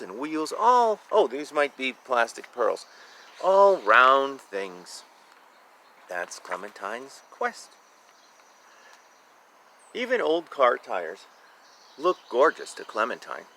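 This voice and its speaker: Animated man reading a children's storybook. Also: medium pitch, takes it slowly, long pauses, slight background noise. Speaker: reader of a children's picture book. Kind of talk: read-aloud storytelling with casual asides about the pictures.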